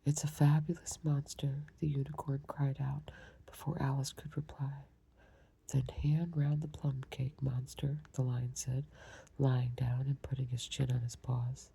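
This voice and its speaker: A woman reads a storybook aloud almost entirely in an unvoiced whisper. Her sound is breathy and mellow, sitting very low, with a grainy, gravelly edge when the voice comes through. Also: level tone, a little slow, low-quality recording. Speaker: storybook reader.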